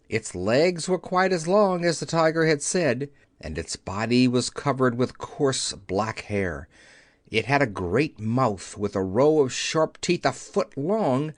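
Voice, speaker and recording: A man reads a children's story aloud as its narrator. His medium-pitched voice moves through expressive rises and falls, and the recording is close and dry. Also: no room sound. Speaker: audiobook narrator.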